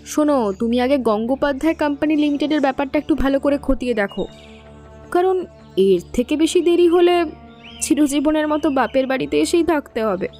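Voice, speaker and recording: An actress playing a defiant, scheming sister-in-law in an audio drama, taunting and threatening. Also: female voice, high voice, a little fast, mellow, faint music behind, lightly acted. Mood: bitter, taunting. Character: defiant, cold, scheming married sister-in-law standing up for herself. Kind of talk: audio story drama acting.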